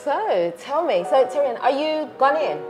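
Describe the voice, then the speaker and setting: A young woman hosting a casual interview, chatty and quick to react, often laughing. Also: high-pitched, big pitch swings, over loud music. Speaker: host. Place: outdoors then indoors at a hot venue in Ghana.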